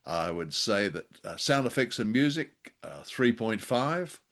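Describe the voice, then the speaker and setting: Low-voiced man, droll podcast co-host. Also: very animated, a little slow, fairly bright tone. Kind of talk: conversational radio drama review.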